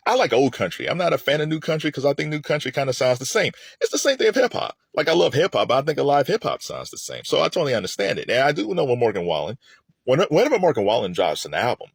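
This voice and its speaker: A man's voice: mid-range voice, big pitch swings, fast talker, gravelly, husky, dry recording, studio quality. A middle-aged man giving casual, opinionated music commentary in a conversational way, repeating phrases for emphasis.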